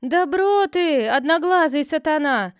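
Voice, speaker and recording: A woman voicing a sharp-tongued village woman who scolds and threatens. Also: high-pitched, lively intonation, unhurried, no room sound, studio quality, voice pushed up. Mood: furious scolding.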